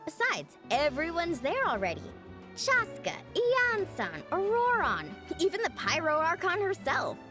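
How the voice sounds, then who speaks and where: A woman's voice: very high-pitched, wildly swooping pitch, measured pace, over music, heavily acted. A woman playing a cheerful, reassuring, friendly young woman in a video game. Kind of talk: video game character acting.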